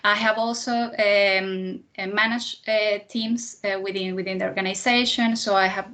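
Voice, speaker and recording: A woman's voice: mid-range voice, steady tone, normal speed, a bit bright. A woman in her thirties from Venezuela, a native Spanish speaker with a Venezuelan accent, giving a careers presentation to students in slightly hesitant, non-native English. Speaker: guest speaker, chemical engineer.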